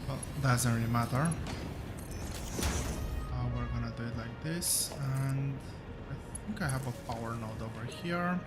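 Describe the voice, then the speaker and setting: A man narrates his game at an unhurried, halting pace, stopping often to think and filling the gaps with "uh" and "um". His voice sounds rough today, and his pitch sits in the middle range. Music plays clearly behind him. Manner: slow, hesitant, thinking aloud with many fillers. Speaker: gaming channel host. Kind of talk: relaxed gameplay commentary.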